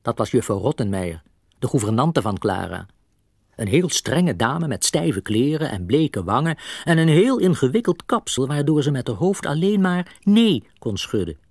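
A man's voice: mid-range voice, big pitch swings, moderate pace, a little mellow, no room sound, clean studio sound. A man narrating a scripted children's story as a storyteller.

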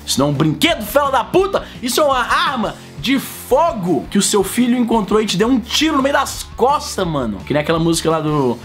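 A man's voice: high voice, brisk, few pauses, soft background music. A man in his thirties from Brazil with a cold, ranting animatedly to the camera in a casual, profane vlog from a room at home. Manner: animated, profane, slangy, big hand gestures. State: sick with a cold, coughing. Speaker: vlogger host. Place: home room with shelves of collectibles. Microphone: fixed camera, close framing.

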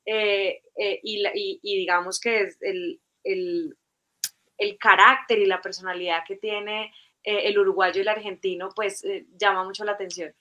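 A woman's voice: mid-range voice, fairly even intonation, normal speed, bright, forceful tone, muffled recording. A Colombian woman in her forties hosting a live video-call interview, chatty with many fillers and restarts. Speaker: host. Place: home room, video call.

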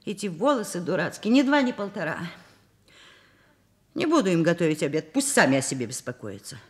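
An actress playing an overworked middle-aged professor and mother in a Soviet radio drama, expressive, wry and self-mocking. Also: fairly low pitch, a little fast. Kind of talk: Soviet radio drama acting, first-person narration.

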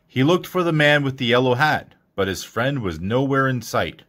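A man reads a picture book aloud for young children. His mid-pitched voice moves up and down in a lively way, with expressive storytelling delivery throughout. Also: moderate pace. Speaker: storybook reader.

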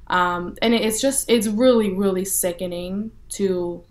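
A woman's voice: medium pitch, unhurried. A young woman from North Texas talks easily in an interview, voice set in the middle of the range. She answers at length, leaning on "you know" and "like" as she thinks aloud. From North Texas, USA. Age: young adult. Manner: relaxed, frequent fillers like "you know" and "like". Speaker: interview guest, violinist. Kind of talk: casual interview talk.

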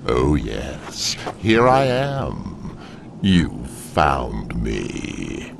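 A slow deep demon lord, wrathful, voice swings all over, audio drama with loud rumbly noise behind. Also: male voice, wildly swooping pitch, vocal fry, heavily acted. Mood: menacing, taunting. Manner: slow, theatrical, taunting and accusing. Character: wrathful, menacing demon overlord, grieving for his race.

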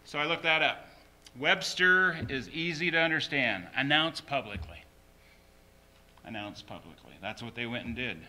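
A man's voice: mid-range voice, moderate pace, pushed and bright, a little hiss or hum. A man preaching a sermon as a pastor, emphatically repeating short phrases.

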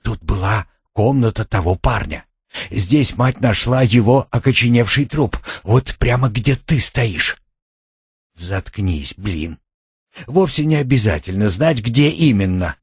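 A man's voice: on the low side, lively intonation, a little slow, slightly rough, no echo, clean studio sound, full character voice. A man voicing a bossy, teasing seventeen-year-old boy in an audiobook, with slangy, taunting delivery. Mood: cocky, taunting.

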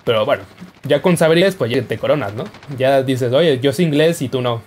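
A man's voice: mid-range voice, brisk, darkish tone. A young man giving casual, joking commentary over his gameplay. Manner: playful, jokey, yelps and laughs while playing. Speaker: gaming YouTuber.

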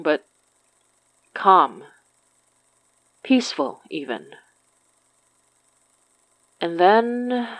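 Slow, low-voiced haunted horror narrator. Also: female voice, dry recording, rough recording. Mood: suspenseful. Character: woman soberly recounting a haunted school childhood. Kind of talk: scripted horror audio drama narration.

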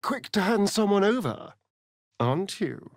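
An actor playing a vain, sardonic vampire rogue in a fantasy video game, with an arch, drawling, theatrical delivery. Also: male voice, sits high, big pitch swings, unhurried, no echo, clean studio sound, full character voice.

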